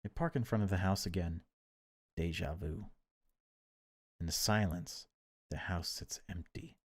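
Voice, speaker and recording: A man reading horror game text aloud as dramatic narration, in deliberate, broken phrases. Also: deep voice, breathy edge, dry recording. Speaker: gaming video creator reading a visual novel aloud.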